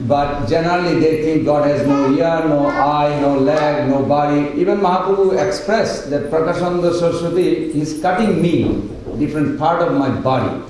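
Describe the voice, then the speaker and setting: Slow, earnest man in an echoey hall. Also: on the high side. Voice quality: loud voice. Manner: earnest, unhurried, with pauses and broken sentences. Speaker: speaker at a devotional study group. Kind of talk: devotional lecture. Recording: very echoey room, voice far from mic, faint hiss.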